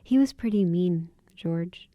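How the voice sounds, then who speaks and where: A woman's voice: lowish voice, lively intonation, takes it slowly, no echo, dull audio. A woman playing a kind, earnest and plain-spoken young woman in a radio drama. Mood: wistful. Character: kind, candid young woman with a wistful side.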